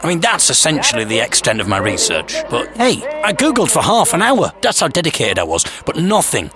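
A man hosting a podcast, narrating a scripted story with theatrical suspense, pauses and wry humour. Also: a little high, wildly swooping pitch. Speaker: podcast host. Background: music.

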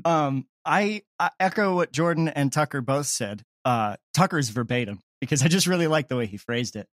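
A man's voice: on the high side, animated, moderate pace, a bit bright, dry recording, studio quality. A man talking casually in an interview as the creator of a comedy podcast.

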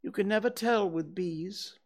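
A storyteller voicing a gentle, slow-witted male toy bear who talks slowly and stammers. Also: mid-range voice, pitched above the performer's own voice. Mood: ponderous. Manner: slow, ponderous, hesitant with stammers. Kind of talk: storybook reading.

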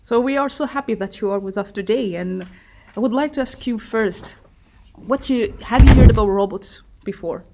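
A woman's voice: medium pitch, normal speed, vocal fry, mellow, rough recording. A woman podcast host interviewing children in a casual, encouraging way with simple, hesitant phrasing.

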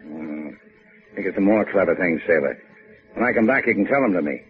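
An actor playing a gruff, sardonic adventurer with clipped lines in a 1950s radio drama. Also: male voice, sits low, expressive, brisk. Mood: wry, brisk. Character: gruff, wisecracking tough adventurer. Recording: tinny band-limited 1950s radio recording with music.

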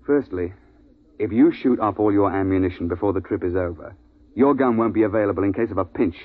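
Deep-voiced, gruff safari guide played by an actor. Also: male voice, natural voice. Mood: angry scolding. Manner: brisk, colloquial, authoritative. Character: gruff, no-nonsense British big-game safari guide recounting his hunt. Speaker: narrator and lead character. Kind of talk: 1950s radio drama acting and narration. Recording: tinny 1950s radio broadcast, music behind.

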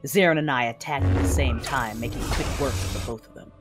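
An actress playing an intense, coolly confident Sith warrior woman, narrating a sci-fi audio drama in a husky, measured voice over music. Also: a bass voice. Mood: tense, determined. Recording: music under the voice.

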